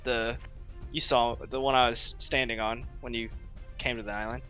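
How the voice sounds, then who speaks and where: A man's voice: medium pitch, little pitch movement, moderate pace, sharp tone, smooth, faint music behind, voice pushed down, close to an everyday voice. A man playing a breezy, nonchalant pirate captain with an offhand, rambling manner in a tabletop game. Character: breezy, casual pirate captain who shrugs off danger.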